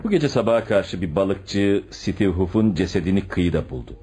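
Radio drama police commissioner, low husky voice, lively intonation, matter-of-fact storyteller. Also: male voice, normal speed. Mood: matter-of-fact, explanatory. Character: sensible, matter-of-fact police commissioner reporting to his chief. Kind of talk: Turkish radio theatre acting.

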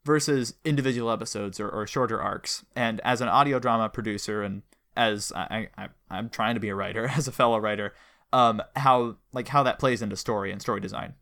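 A man's voice: on the low side, a little fast, creaky, pushed and bright. A man hosting a casual, enthusiastic fan interview, talking eagerly and wordily and often correcting himself mid-sentence. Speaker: host interviewing a guest; audio drama producer and writer.

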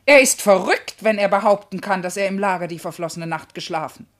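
Eine Schauspielerin spielt in einem klassischen Vershörspiel eine empörte, scharfzüngige Dienersfrau, die theatralisch und mit Nachdruck schimpft. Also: female voice, medium pitch, fairly quick, natural voice. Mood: irritated. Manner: scolding, theatrical, emphatic. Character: scolding, indignant servant's wife, fiery and sharp-tongued. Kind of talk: classical German verse comedy radio acting.

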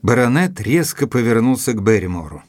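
An actor playing an earnest, mildly proud gentleman assistant to a detective, telling the story in a measured, literary way in an audio drama. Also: medium pitch, very animated, dry recording, natural voice. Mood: hushed, suspenseful.

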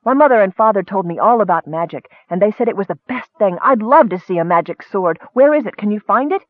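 A man voicing an eager, excitable young male kender who gushes with wonder in an audiobook fantasy tale. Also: sits high, fast, no room sound, phone-quality sound, pitched above the performer's own voice. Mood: awed excitement. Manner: gushing, breathless rush of questions.

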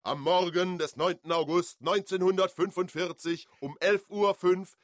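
A man's voice: high voice, fairly even intonation, a little slow, no echo, studio quality. A man narrating in a scripted documentary style.

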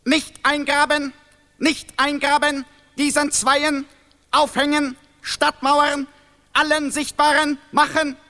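An actor playing a merciless denouncer in an absurdist radio play, proclaiming orders repetitively in broken grammar, with long reverb. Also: male voice, very high voice, bright, forceful tone, exaggerated voice. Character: harsh male denouncer, merciless guardian of language, ordering punishment.

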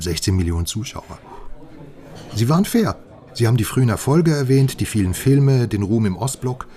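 An actor playing a wry, confiding informant, chatting familiarly in a German radio crime drama. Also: male voice, lowish voice, mellow, close to an everyday voice. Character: male informant, familiar and wryly amused.

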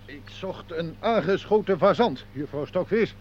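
An actor playing an earnest, self-assured amateur hunter who gives instructions in a radio play, on a very tinny recording. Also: male voice, high voice, lively intonation, unhurried, a little mellow. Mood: confident. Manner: instructing, confident. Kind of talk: Dutch radio play acting.

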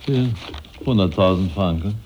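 An actor playing a shrewd, flattering banker of Napoleonic France in a German radio drama, speaking politely and insinuatingly. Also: male voice, low voice, normal speed, lo-fi sound, a little hiss or hum, lightly acted. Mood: persuasive.

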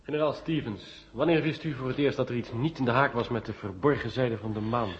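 A man's voice: medium pitch, a little fast, natural voice. A man playing a sceptical journalist in a Dutch radio play, polite but pressing with questions. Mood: probing. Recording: muffled 1970 radio recording, echoing hall.

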